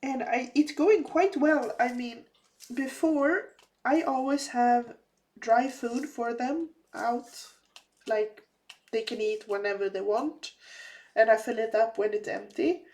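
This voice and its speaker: A woman talks casually as she crafts, her speech slow and unhurried. Her voice sits a little high and carries a slight rasp left over from a cold. She often restarts her sentences and fills the gaps with small hesitations. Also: darkish tone. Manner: slow, halting, many fillers and restarts. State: slightly raspy, recovering from a cold. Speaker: crafting vlogger. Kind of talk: casual chat while crafting.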